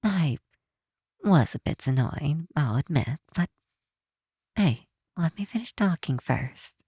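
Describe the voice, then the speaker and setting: A woman playing a possessive supervillain who is softly menacing yet tender, in an intimate ASMR roleplay with a hushed, teasing delivery. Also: low voice, lively intonation, no echo, clean studio sound, exaggerated voice. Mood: protective, sincere. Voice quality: breathy, hushed. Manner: unhurried, teasing, theatrical.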